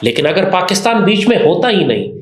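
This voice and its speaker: Male political commentator, Pakistani accent, oratorical, very animated intonation, echoey room. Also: sits high, quick pace. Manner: emphatic, oratorical. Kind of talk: impassioned political monologue. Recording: roomy, echoing sound.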